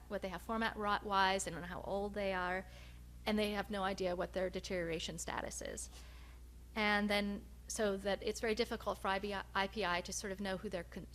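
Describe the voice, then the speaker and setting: A woman researcher giving a research presentation, speaking in a measured, hesitant way with frequent pauses. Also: medium pitch, a bit bright. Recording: noticeable background hum and noise.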